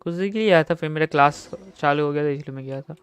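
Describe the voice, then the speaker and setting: A man's voice: fairly high pitch, rapid-fire, smooth, no room sound, faint music behind. A young man with an Indian accent giving casual live-stream gaming commentary into a close microphone.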